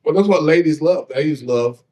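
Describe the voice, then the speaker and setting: A man from the eastern shore of Maryland with a deep voice, a comedian telling jokes and stories in a casual interview. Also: normal speed, dark tone. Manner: joking, laid-back storytelling.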